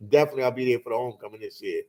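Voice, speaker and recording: A man in his forties hosts a relaxed livestream, reminiscing and telling stories at an easy, unhurried pace. He fills his talk with "man" and "you know," keeping it loose and conversational. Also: mid-range voice. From Philadelphia. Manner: laid-back storytelling, lots of "man" and "you know". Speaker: host. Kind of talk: casual livestream chat.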